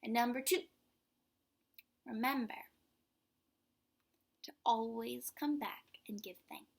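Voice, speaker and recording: A woman with a breathy voice reads aloud and explains simply to young children in an expressive, emphatic way. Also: fairly high pitch, takes it slowly. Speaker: children's story reader and host.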